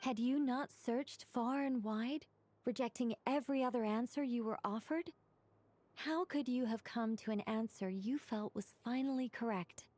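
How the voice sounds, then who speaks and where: A woman's voice: a little high, normal speed, a little mellow, no echo, natural voice. A woman voicing a knowing angel mage in a video game, chatty and explanatory while trying to stay solemn. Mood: calm, encouraging. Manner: explanatory, rambling, self-correcting.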